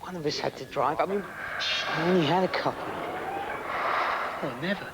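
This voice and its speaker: A man playing a guilty young drink-driver, halting and apologetic as he pleads with a friend. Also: on the high side, natural voice. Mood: guilty pleading. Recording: noisy old VHS drama audio.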